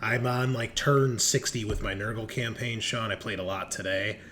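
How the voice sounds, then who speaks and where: A man's voice: lowish voice, fairly even intonation, normal speed, fairly bright tone. A man hosting in a casual, enthusiastic way, chatting in slang with plenty of swearing. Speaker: host and game master. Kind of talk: casual chat. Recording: noticeable background noise.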